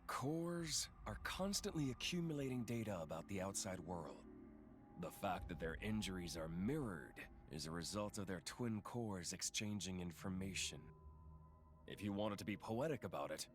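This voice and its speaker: A man playing a cold, knowing villain in a video game, explaining in a measured, matter-of-fact, dismissive manner. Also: deep voice. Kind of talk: dramatic video game acting. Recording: music under the voice.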